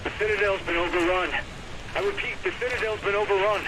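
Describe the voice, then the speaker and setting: Game role: terse male special-forces trooper, played by a man, urgent high voice nearly swamped by noise. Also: fairly even intonation, quick pace, lightly acted. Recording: very noisy radio, rain.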